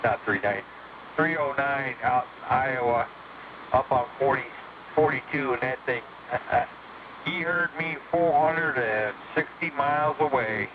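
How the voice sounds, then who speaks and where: A phone-thin, noisy CB radio transmission carries a man with a mid-pitched voice. He chats casually with fellow operators in a folksy, jargon-filled way. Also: unhurried, narrow, tinny audio. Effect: heard over CB sideband radio. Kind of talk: casual on-air radio chat.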